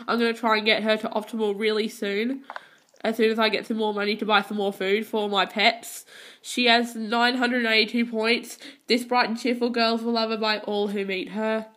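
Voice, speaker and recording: A woman's voice: fairly high pitch, pushed and bright. Tired girl with a stuffy head cold. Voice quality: hesitant, many ums and restarts. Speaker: gaming channel host. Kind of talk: casual gameplay commentary. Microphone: phone filming a handheld console screen.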